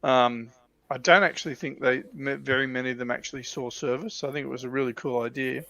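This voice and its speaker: A man's voice: medium pitch, steady tone, fairly quick, vocal fry, bright, forceful tone, no echo. An Australian man chatting casually on a hobby livestream about military vehicles.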